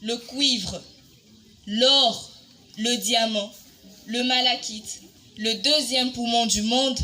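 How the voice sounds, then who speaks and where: A woman reciting a poem in a dramatic spoken-word style, solemn and declamatory, with deliberate pauses. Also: fairly high pitch, fairly even intonation, pushed and bright. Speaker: poetry reciter. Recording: music bed under the voice. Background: music.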